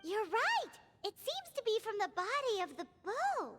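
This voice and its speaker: A woman voicing a small, childlike fairy companion in a video game, squeaky, chirpy and inquisitive. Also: huge pitch swings, moderate pace, heavily acted. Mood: excited. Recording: music behind the voice. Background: game music.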